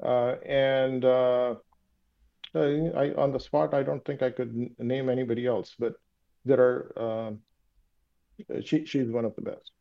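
A man's voice: mid-range voice, level tone, gravelly. Field: man, reflective, muffled call. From Pakistan. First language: Urdu. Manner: reflective and philosophical, halting with many fillers. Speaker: interviewee. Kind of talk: casual conversation on a call.

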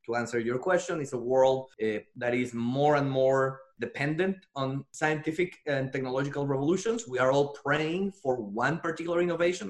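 A man from Mexico with a Mexican Spanish accent, giving measured, explanatory answers as an interview guest. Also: medium pitch. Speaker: interview guest, defense futurist. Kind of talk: thoughtful conversational answers.

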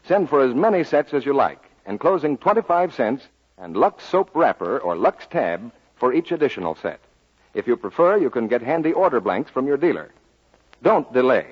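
A man reading a 1940s radio advertisement as a formal, measured announcer with a slightly husky voice. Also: mid-range voice. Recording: dull old 1940s radio recording.

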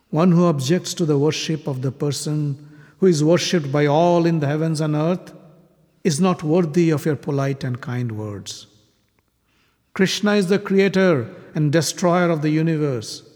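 A man voicing a wise old warrior-patriarch who speaks in a grave, measured way. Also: on the high side, a little mellow, lightly acted. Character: calm, wise, aged male warrior-patriarch. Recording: long hall reverb.

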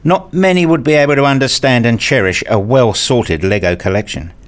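A man's voice: mid-range voice, brisk, close to an everyday voice. An actor playing an enthusiastic, curious grown man who narrates his visit in a scripted radio play. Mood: measured, reflective. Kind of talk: scripted first-person narration and acted dialogue.

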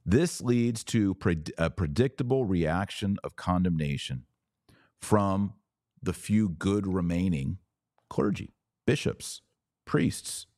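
A middle-aged man hosting a religious commentary podcast, speaking in deliberate, stressed phrases with pauses. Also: low-pitched, lively intonation, darkish tone, no room sound, studio quality. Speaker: podcast host. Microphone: close studio microphone.

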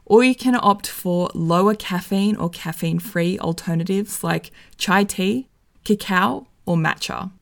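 A woman with a slightly croaky voice giving casual, enthusiastic wellness advice. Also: fairly low pitch, measured pace. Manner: friendly, enthusiastic, conversational. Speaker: wellness vlogger.

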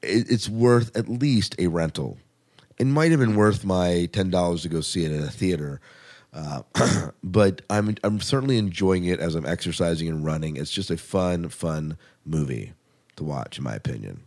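Very low-pitched man, chatty podcast host. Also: moderate pace, a little mellow. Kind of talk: casual fan chat about TV and film.

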